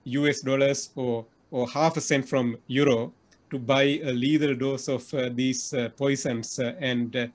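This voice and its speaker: A man's voice: mid-range voice, steady tone, unhurried. A middle-aged man interviewing over a video call, earnest, with many fillers and restarts. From Sri Lanka. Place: indoor room.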